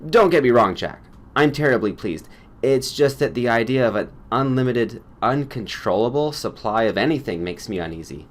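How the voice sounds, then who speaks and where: A man's voice: medium pitch, expressive, moderate pace, close to an everyday voice. A man voicing an earnest, modest male doctor in a story, with a careful, emphatic, protesting manner. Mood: uneasy.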